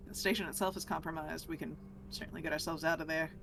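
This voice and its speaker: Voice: mid-range voice, steady tone, fast talker, creaky, background noise. A tabletop game player talking casually and thinking aloud while discussing what to do next.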